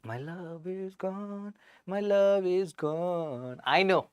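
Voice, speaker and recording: A woman's voice: lowish voice, slow pace, darkish tone, clean tone, no room sound. A woman with an Indian English accent giving a chatty online exam-prep lecture, joking with sarcastic asides and reading out her students' names. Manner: reads out student names from chat. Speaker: teacher.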